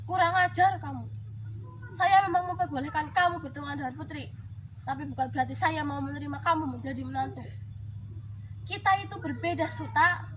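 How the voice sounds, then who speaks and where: Woman as outraged nobleman, very high pitch, scolding, commanding, storybook villain, noisy recording. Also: a little fast, fairly bright tone. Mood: furious, commanding. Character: furious, haughty male regent scolding a lowly servant. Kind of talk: dramatic storytelling character voice. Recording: clearly noisy background.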